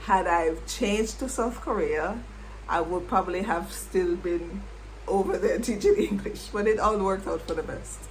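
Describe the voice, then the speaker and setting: A middle-aged woman, a former English teacher, reflecting on her past in an unhurried way and repeating words as she thinks. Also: on the low side, slight background noise, low-quality recording. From Jamaica. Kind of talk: reflective personal storytelling.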